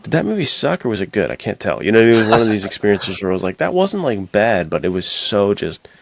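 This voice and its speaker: A man's voice: fairly low pitch, very animated, fast talker, vocal fry. A young American man co-hosting a podcast, casually discussing films in slangy, opinionated talk. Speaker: podcast co-host.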